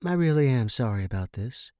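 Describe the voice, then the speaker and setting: A man's voice: mid-range voice, lively intonation, a little fast, warm tone, no room sound, studio quality, close to an everyday voice. A man voicing a strong woman, a cool armed hijacker who speaks casually and matter-of-factly, in an audiobook story. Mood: calm, firm.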